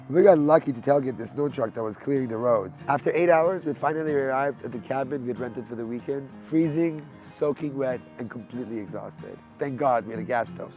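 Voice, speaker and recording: A man, from California, telling his story in an earnest, conversational promotional pitch. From California, United States. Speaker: game founder presenting a crowdfunding pitch.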